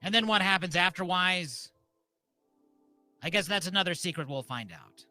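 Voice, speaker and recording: A man hosting a comedy ceremony like a mock-solemn preacher, deadpan, speaking in deliberate short phrases. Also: high voice, soft background music. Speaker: host and mock officiant of a comedy live show.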